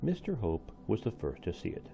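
A man reads a picture book aloud as a teacher would. His voice is low and gravelly, with very animated intonation. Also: normal speed. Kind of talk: expressive storybook read-aloud.

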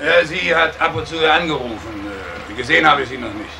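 An actor playing a guarded, long-serving scrapyard worker in a radio crime drama, answering in a dry, hesitant, matter-of-fact way. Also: male voice, a little high, animated, fast talker. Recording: clearly noisy, outdoor-like ambience.

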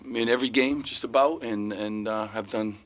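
A man's voice: medium pitch, moderate pace. A middle-aged man, a head basketball coach, answering reporters' questions after a game, rambling with many "you know" fillers and restarts. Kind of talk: postgame press conference answers. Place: press room in front of sponsor backdrop. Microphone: table mic in front of him.

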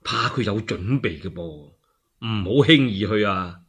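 A male storyteller with a Cantonese accent voicing a prudent advisor who cautions in a slow, low manner. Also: lively intonation, fairly bright tone, husky, full character voice. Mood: wary.

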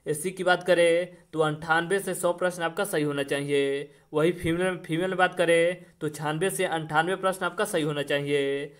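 A male coaching teacher with a somewhat high voice. He explains in an instructional online-lesson style, repeating points and putting questions to the listener before answering them. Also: fairly bright tone.

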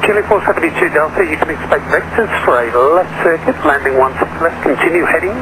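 A man's voice: fairly high pitch, fast talker. A man working as an air traffic controller, giving instructions to pilots over aviation radio. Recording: noisy aircraft radio transmission.

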